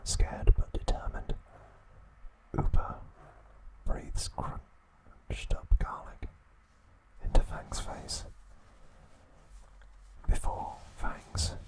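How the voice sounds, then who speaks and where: A man hosting ASMR, narrating a recap in a breathy, hushed whisper with short, halting phrases. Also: poor audio. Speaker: ASMR host.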